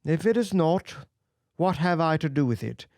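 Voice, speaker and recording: A man voices a languid, cynical aristocrat from a storybook. He speaks with lofty, amused assurance. The voice has a gravelly grain and is recorded dry and close. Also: a little high, lively intonation, normal speed, a little mellow, no echo, pitched above the performer's own voice. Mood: cool. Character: witty older aristocrat gentleman, languid and cynical. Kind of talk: audiobook character reading.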